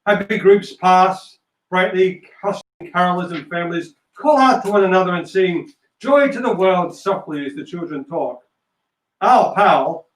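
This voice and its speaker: Guy hosting and narrating a play reading, highish voice, bit far from the mic. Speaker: host and stage-direction reader. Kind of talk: casual hosting and scripted narration. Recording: roomy, distant microphone.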